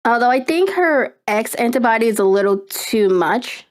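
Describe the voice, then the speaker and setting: Chatty high-voiced young woman streamer. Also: normal speed. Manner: rambling, excitable, lots of fillers and laughs. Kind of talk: casual live-stream chat.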